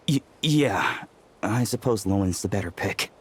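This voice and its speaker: A man's voice: on the low side, animated, slow pace, slight background noise. An actor playing an earnest, modest young male trainee medic, polite and hesitant, in an anime-style game. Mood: sheepish.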